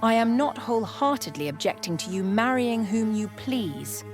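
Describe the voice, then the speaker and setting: A woman's voice: fairly high pitch, animated, normal speed, pitched above the performer's own voice, close to an everyday voice. A woman voicing a proud, scheming older aristocratic grandmother in a storybook, formal and assured. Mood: firm. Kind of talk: period storybook dialogue. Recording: music behind the voice.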